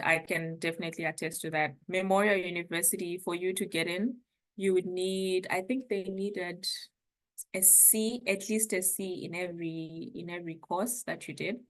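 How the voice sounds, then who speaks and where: Chatty young woman from Zimbabwe, lowish voice. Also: fairly bright tone. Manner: hedging, chatty, laughs often. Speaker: guest, former international student. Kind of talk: casual interview chat.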